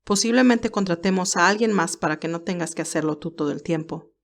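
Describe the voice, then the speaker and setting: A woman's voice: fairly low pitch, a little fast, gravelly. Woman reading as a formal, methodical male boss. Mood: businesslike. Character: composed, businesslike male senior aide giving orders.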